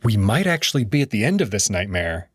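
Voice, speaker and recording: Husky, expressive male story narrator. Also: lowish voice, a little fast, no echo, studio quality. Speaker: audio drama narrator. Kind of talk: first-person story narration.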